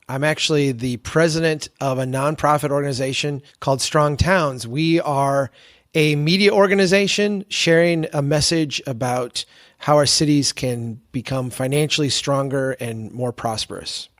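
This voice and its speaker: A man's voice: on the high side, normal speed, fairly bright tone, no room sound, studio quality. A man speaking as an interview guest, explaining his organization's work. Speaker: podcast guest, nonprofit president.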